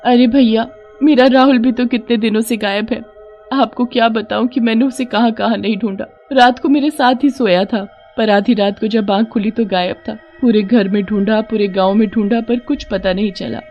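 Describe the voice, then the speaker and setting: A woman's voice: fairly high pitch, fairly even intonation, moderate pace, lo-fi sound, soft background music, voice pushed up, lightly acted. A woman voicing a troubled, plaintive village parent in a cartoon story. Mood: distressed.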